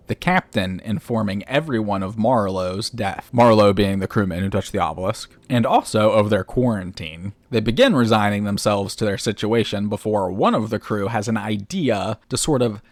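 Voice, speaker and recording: Casting: male video essayist with a lowish voice, recap and commentary style. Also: moderate pace, no echo. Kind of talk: explainer commentary recapping a horror series.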